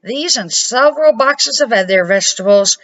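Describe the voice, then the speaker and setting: A woman's voice: a little high, lively intonation, normal speed, a bit bright. An older woman voicing a cheerful, friendly neighbor man in a children's storybook. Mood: friendly, grateful. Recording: dull lo-fi home recording.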